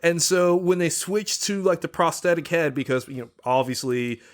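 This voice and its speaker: A man speaking as a podcast guest, enthusiastic and halting, with many fillers. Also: on the high side. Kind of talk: casual chat about horror films.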